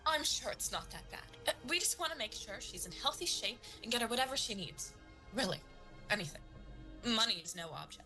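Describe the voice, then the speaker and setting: Casting: overbearing, gushing mom, acted by a woman, high bright voice, comedic audio drama, proud and nervously dismissive. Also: expressive, brisk, soft background music. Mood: defensive. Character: boastful, chatty, overbearing mother of a star student.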